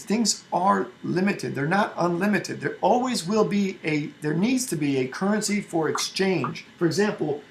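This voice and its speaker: A man's voice: high-pitched, slight background noise. A man from Albania speaking emphatically in a casual group discussion, arguing his point with frequent restarts.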